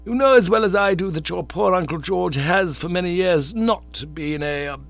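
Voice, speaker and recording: A man's voice: high voice, normal speed, creaky, soft background music, pitched above the performer's own voice. A man voicing a stern, pompous middle-aged aunt who lectures loftily and stalls over delicate words. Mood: imperious. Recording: slightly dull lo-fi recording.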